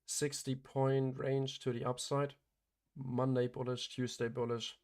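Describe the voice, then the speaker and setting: A man running a live day-trading stream, giving matter-of-fact commentary, hoarse as he starts to lose his voice, and clearing his throat often. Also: medium pitch, level tone, measured pace, darkish tone. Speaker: day trader hosting a livestream.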